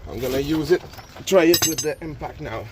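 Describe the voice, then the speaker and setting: A man's voice: on the high side, brisk, very noisy. A man whose first language is French, explaining a car repair step by step in a casual how-to manner with many fillers and restarts. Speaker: DIY car repair youtuber. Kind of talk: casual how-to narration while working. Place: garage or driveway by a car.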